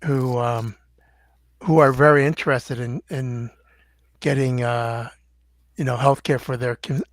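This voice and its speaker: An older man, a doctor guest, answering in a measured, halting way with many fillers. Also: medium pitch, fairly even intonation, dry recording. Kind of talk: interview answers.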